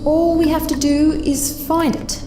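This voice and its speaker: A woman's voice: high voice, level tone, measured pace. A woman playing a confident young female time traveller with composed, formal phrasing in an audio drama. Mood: assured. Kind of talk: fan audio drama acting. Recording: music underneath.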